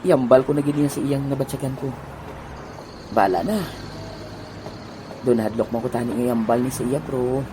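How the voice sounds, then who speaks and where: A man voicing a shy high school boy who is secretly in love, talking softly with nervous little laughs, in an amateur audio drama. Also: moderate pace, pauses often, noisy background, natural voice. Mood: wistful. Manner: soft-spoken, nervous laughs. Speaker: voice actor. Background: background music.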